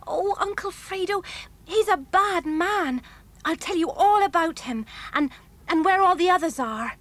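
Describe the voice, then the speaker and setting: Really high little girl voice, plucky fair kid, earnest and pushy, audiobook drama. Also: expressive, a little slow, fairly bright tone, no echo, exaggerated voice. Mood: urgent, pleading.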